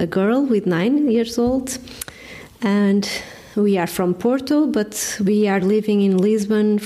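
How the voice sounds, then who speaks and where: Slow, mellow Portuguese-accented woman. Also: medium pitch. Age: 40s. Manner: hesitant, many fillers and restarts, laughs often. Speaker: ministry director, guest. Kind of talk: casual interview chat.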